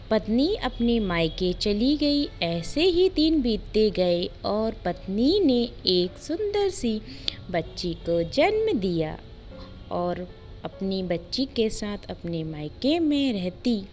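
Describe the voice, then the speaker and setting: A woman reads a simple moral tale as a storyteller. Her delivery is unhurried and broken into short, deliberate phrases, with an animated rise and fall in pitch. Soft music plays behind her. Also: lo-fi sound. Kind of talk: scripted moral story narration.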